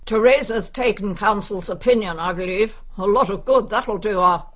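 A woman's voice: medium pitch, moderate pace, lightly acted. An actress playing a nosy, brusque older English village woman in a radio drama, gossiping wryly. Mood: wry, confiding.

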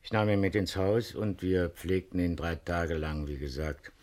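An actor playing a stolid older farmer in a radio play, speaking plainly and haltingly with a weight of guilt. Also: male voice, deep voice, steady tone, a little mellow, lightly acted. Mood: grave confession. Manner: deliberate, halting, plain-spoken. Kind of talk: 1970s German radio play acting.

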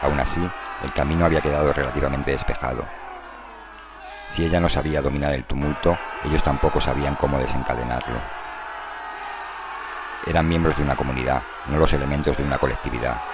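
A man narrating a dramatized novel in a measured, literary storytelling style. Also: extremely low pitch, steady tone, background noise. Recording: prominent music under the voice.

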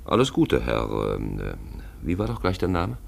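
An actor playing a dry, persistent companion in a radio play, with a creaky voice and laconic, insistent delivery. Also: male voice, very deep voice, wildly swooping pitch, moderate pace, heavily acted. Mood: dry, prodding. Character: dry, persistent male companion prodding with reminders. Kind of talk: scripted radio play dialogue.

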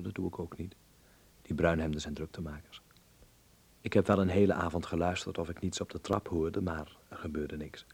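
An actor playing an earnest, dreamy young Dutch filmmaker in a radio drama, speaking politely and precisely with a husky, creaky voice. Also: male voice, low-pitched, darkish tone, full character voice. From Netherlands. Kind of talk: radio drama acting with letter narration.

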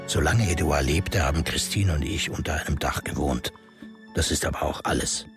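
A man's voice: very deep voice, moderate pace. An actor playing a cynical, scheming male power broker in a radio drama, talking in a cold, calculating inner monologue. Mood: guarded. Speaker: politician character. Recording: studio radio play with music.

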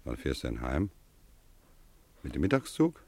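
An actor in a radio play playing a gruff but kindly schoolmaster, mock-stern, prodding and fatherly. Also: rumbling low, wildly swooping pitch, normal speed, a little mellow, no room sound, full character voice. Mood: insistent, fatherly.

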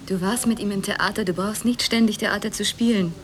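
A woman playing a cool, disillusioned, sharp-tongued woman in a radio play, with a dry, cutting delivery. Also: mid-range voice, fairly even intonation, fairly quick, music in the background, natural voice. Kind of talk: German radio play acting. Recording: noisy ambient background.

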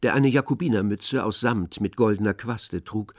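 A man narrating a literary radio drama from a script in a measured, descriptive way. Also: on the low side, darkish tone, no room sound, studio quality.

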